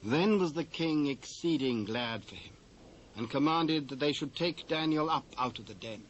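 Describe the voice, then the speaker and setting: A British man narrating scripture from a script in a formal, measured storytelling manner. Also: on the high side, animated, low-quality recording. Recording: old cassette with faint hiss.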